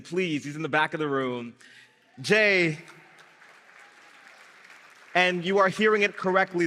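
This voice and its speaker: Energetic young man speaking in a hall. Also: a little high. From Brazil, raised in Guyana. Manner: energetic storytelling, comic timing, addresses the crowd. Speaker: startup founder giving a gala speech. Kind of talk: live stage speech with audience call and response. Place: large event hall before an audience. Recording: reverberant hall through a PA.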